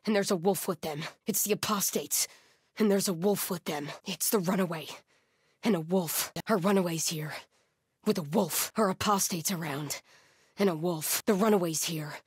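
A woman's voice: lowish voice, normal speed, slightly rough, no echo, clean studio sound. A woman playing a grim, fervent cult hunter in a video game, speaking in terse, menacing, commanding barks. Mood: alert, matter-of-fact. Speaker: video game enemy character.

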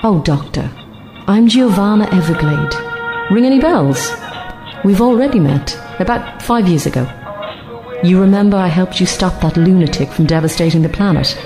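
A woman's voice: fairly low pitch, animated, moderate pace, over music. A woman playing a gushing, effusive old acquaintance in an audio drama. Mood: eager, friendly.

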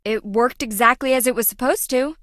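An actress as a quirky young female engineer in a video game. Her voice is high, bright and very animated, with a chatty, wry, scatterbrained way of talking, closely and dryly recorded. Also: a little fast, dry recording, studio quality, natural voice. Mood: defensive, upset. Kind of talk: video game character voice acting.

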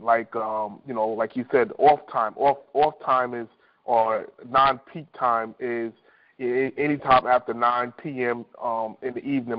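A man giving informal team coaching on a conference call over the telephone, conversational and repeating himself. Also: mid-range voice. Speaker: insurance agent team leader. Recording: dull, lo-fi conference phone line.

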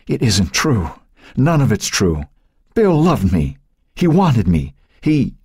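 A man's voice: medium pitch, big pitch swings, moderate pace, darkish tone, breathy edge, no echo, clean studio sound, pitched above the performer's own voice. A man voicing an anguished, unstable woman in an audiobook, fearful, pleading and distraught. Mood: broken, pleading. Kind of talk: audiobook character voice.

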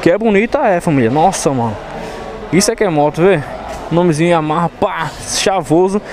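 Excited young Recife biker, high voice. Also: male voice, fairly quick, faint music behind. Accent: Pernambuco Brazilian Portuguese. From Recife, Brazil. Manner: excited, slangy, hype-driven. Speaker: motorcycle vlogger. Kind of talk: casual slangy vlog chat. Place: indoor motorcycle showroom. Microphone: chest-mounted action camera.

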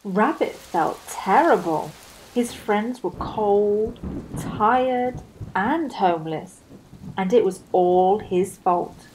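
A woman reading a picture book aloud to children as an expressive storyteller, leaning deliberately on key words. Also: mid-range voice, a little hiss or hum, poor audio.

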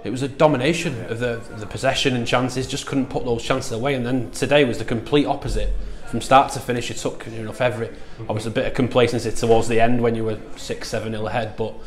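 A man's voice: medium pitch, steady tone, quick pace, hardly any pauses. A man, a club TV interviewer asking chatty post-match questions that often end in tag questions.

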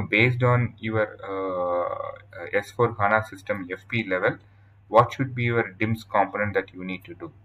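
A man with an Indian accent presenting a software tutorial in a halting, explanatory way over slides. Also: fairly low pitch, highs cut off. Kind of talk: informal scripted-outline tutorial narration over slides.

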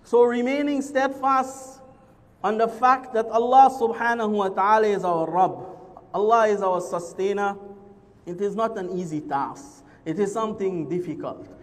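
High-voiced man preaching in a reverberant mosque. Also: moderate pace. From Guyana. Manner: explains each Arabic term in English, repeating phrases. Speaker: imam giving a Friday sermon. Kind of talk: sermon. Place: large mosque hall with a crowd seated on carpets. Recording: long hall reverb.

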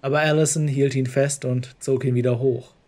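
Male narrator for fiction audiobook; dark, relaxed, mid-pitched read. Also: moderate pace. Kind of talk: scripted story narration.

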